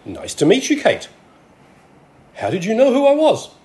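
A man plays a smug, pompous alien father in a radio play. His pitch is on the high side and swings very widely. The delivery is dry and haughty with a roguish edge. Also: wildly swooping pitch, normal speed, mellow. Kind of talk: scripted amateur radio play.

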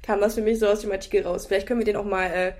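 A woman's voice: medium pitch, flat delivery, very fast, a little mellow. A woman co-hosting a casual review chat, thinking aloud critically and restarting her sentences. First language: German.

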